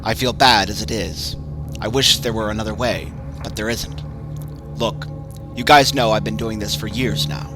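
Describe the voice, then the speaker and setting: A man's voice: fairly low pitch, steady tone, normal speed, close to an everyday voice. Man playing a weary older man who runs a haunted attraction, apologetic and pleading, music bed underneath. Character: aging haunted-house owner, guilty and weary.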